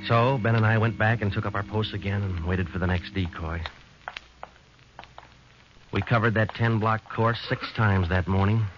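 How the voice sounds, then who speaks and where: Male actor: hard-boiled detective narrator, deep and clipped, old radio sound. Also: close to an everyday voice. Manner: flat, clipped, matter-of-fact delivery. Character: terse male police sergeant narrating his case. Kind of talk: 1940s radio drama acting. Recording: muffled, hissy old radio broadcast.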